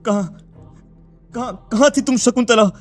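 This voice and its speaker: A man voicing a grieving village father searching for his daughter in a cartoon story, distraught and pleading, over soft music. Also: very high voice, measured pace.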